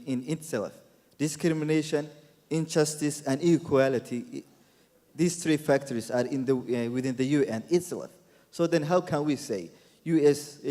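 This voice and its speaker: A man from Somalia with a Somali accent puts a formal question from the audience, emphatic and deliberate, in short repeated phrases. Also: mid-range voice, mellow. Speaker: audience member asking a question. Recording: echoey hall with long reverb.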